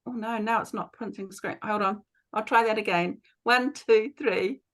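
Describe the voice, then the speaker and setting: A woman's voice: medium pitch, fairly even intonation. Cheerful woman organising a video call. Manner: brisk and cheerful, talking to herself while fumbling. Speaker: meeting organiser. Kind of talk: casual chat on a video call.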